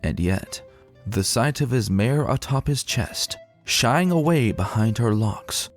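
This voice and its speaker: A man giving an expressive, dramatic narration of romantic fiction in a literary storytelling manner. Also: medium pitch, slow, soft background music.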